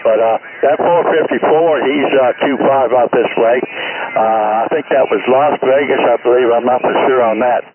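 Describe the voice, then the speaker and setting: A man's voice: medium pitch, normal speed, hardly any pauses, a crowd in the background. A man in his eighties chatting as a CB radio operator in a folksy, boastful way, with broadcast-style catchphrases, over a radio transmission. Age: 80s. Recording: narrow tinny CB radio, static hiss.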